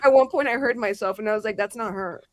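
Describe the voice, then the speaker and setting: A woman's voice: medium pitch, fast talker, dry recording, studio quality. A woman from Colombia, a player on a tabletop roleplaying show, chatting casually and playfully. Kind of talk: casual, playful group chat.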